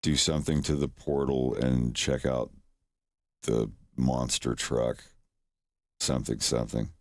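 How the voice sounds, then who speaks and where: A man's voice: very deep voice, flat delivery, unhurried, no echo, clean studio sound. A man chatting casually on his livestream, rambling and chuckling in a self-deprecating way, into a desk microphone at home. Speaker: streamer, animator.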